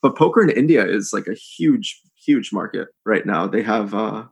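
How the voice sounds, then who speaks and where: Gravelly-voiced American man, rambling. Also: fairly low pitch, normal speed. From United States. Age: 30s. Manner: relaxed, rambling, heavy use of "like". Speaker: podcast guest, poker pro. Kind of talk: casual interview chat.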